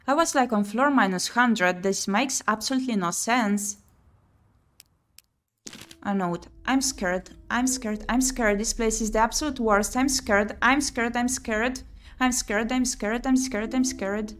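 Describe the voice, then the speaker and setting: A woman's voice: on the high side, measured pace, a bit bright. A woman streamer playing a visual novel, reading the story text aloud expressively and adding her own asides. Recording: music behind the voice. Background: game music.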